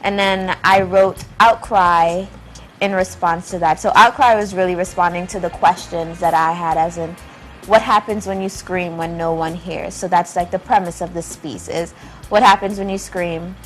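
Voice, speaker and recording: A woman in her twenties answering interview questions as a playwright guest, deliberate and given to rhetorical questions. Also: lowish voice, fairly even intonation, faint music behind, poor audio. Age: 20s. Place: small internet show studio.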